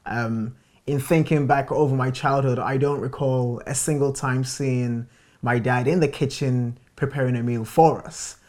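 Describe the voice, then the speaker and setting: A man's voice: mid-range voice, steady tone, a little slow, a little mellow. Reflective man sharing his marriage story. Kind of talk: reflective personal testimony.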